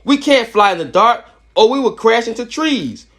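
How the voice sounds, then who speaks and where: Man as young girl bat, storybook character, high pitch, lively swings, excitable, earnest. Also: moderate pace, full character voice. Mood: startled. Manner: squeaky, eager exclamations.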